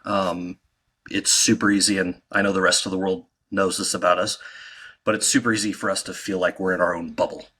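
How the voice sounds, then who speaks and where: An American man hosting a podcast, chatty and relaxed. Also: deep voice, fairly quick, husky. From United States. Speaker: podcast host. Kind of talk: casual podcast chat.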